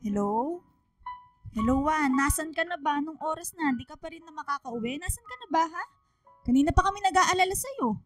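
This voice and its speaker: A woman's voice: sits high, lively intonation, brisk, over music. A woman playing an anxious, fussing middle-aged Filipino mother and wife in a radio drama, fretful and insistent. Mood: worried.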